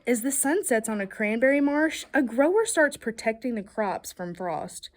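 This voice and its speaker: A woman reads a children's picture book aloud with a fairly high voice. Her pitch moves up and down in a lively way, and she stresses key words like a storyteller engaging young listeners. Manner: expressive, emphatic storytelling delivery with playful questions. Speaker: storybook reader.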